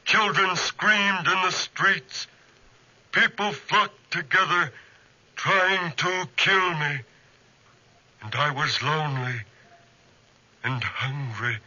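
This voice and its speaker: A lonely man-made monster in a dull, lo-fi 1940s radio drama. The voice is fairly high and very forceful. The delivery drags deliberately, theatrical, pleading and menacing. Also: exaggerated voice. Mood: brooding, lonely.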